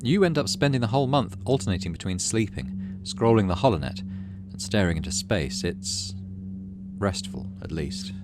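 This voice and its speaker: Low-voiced man reading a game story aloud. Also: animated, normal speed. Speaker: gaming channel host. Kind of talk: dramatic read-aloud narration of a visual novel; casual let's play commentary between readings. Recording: game music behind the voice.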